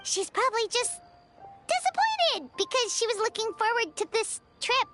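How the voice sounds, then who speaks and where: A woman voicing a small, chirpy, eager childlike fairy guide in a video game, with a squeaky, excitable delivery. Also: moderate pace. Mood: reassuring. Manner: speaks of herself in third person, excitable. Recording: music playing behind the voice.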